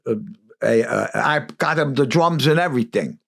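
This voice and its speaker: A man's voice: medium pitch, moderate pace, creaky. An older man, telling rambling stories as a guest in a casual interview and acting out old conversations. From United States. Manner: rambling, animated, acts out dialogue. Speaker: guest musician.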